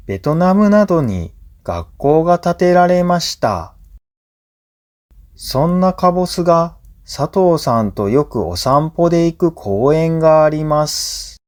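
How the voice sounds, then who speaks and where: A man reading a scripted true story for language learners in a measured, clearly phrased narration. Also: mid-range voice, darkish tone. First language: Japanese.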